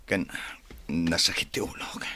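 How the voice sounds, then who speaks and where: An actor playing a feverish young husband in a radio drama, speaking in weak, groaning murmurs. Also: male voice, moderate pace, bright, forceful tone, a little hiss or hum. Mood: weak, miserable. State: sick with fever.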